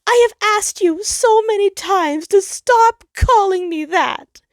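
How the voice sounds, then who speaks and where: Casting: woman playing a wounded male Sun in human form, high-pitched, pleading, bitterly hurt, for narrated fiction. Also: takes it slowly, no echo. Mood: tearful fury. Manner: pleading, crying out in hurt anger. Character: the Sun as anguished male star in human body.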